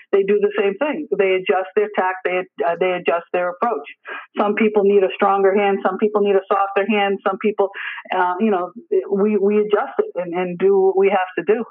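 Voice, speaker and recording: A middle-aged man with a high-pitched voice speaks over a thin, phone-like line. He explains things informally and earnestly, like an emergency services supervisor in an interview, repeating phrases for emphasis. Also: flat delivery, a little fast. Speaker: emergency dispatch deputy superintendent. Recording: tinny narrow phone or radio line.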